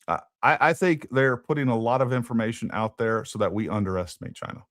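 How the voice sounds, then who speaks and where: A man's voice: medium pitch, animated, quick pace, a bit bright, dry recording, studio quality. A man hosting a casual political talk show, thinking aloud and repeating words as he goes. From Texas. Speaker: podcast host.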